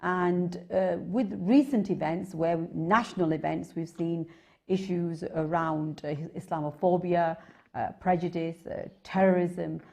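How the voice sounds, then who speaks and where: A woman with an English accent hosting a conversational interview, asking long, deliberate questions with hesitations. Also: lowish voice, steady tone, creaky, dark tone. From England. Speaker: TV host, interviewer.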